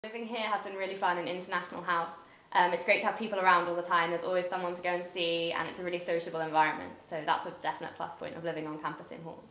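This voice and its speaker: A woman's voice: fairly low pitch, level tone, rapid-fire, pushed and bright. A young woman with an English accent, an exchange student giving articulate, upbeat interview answers. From England. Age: young adult. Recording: echoey room, mic at a distance.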